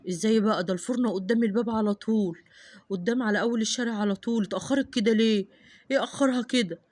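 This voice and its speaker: A deep, gruff Egyptian father, as a woman puts him on, worried and pressing with quick, anxious questions. His voice sits far below her own high pitch and rattles along fast, in a homemade family skit. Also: darkish tone, close to an everyday voice. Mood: alarmed. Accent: Egyptian Arabic. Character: stern worried husband and father, adult man.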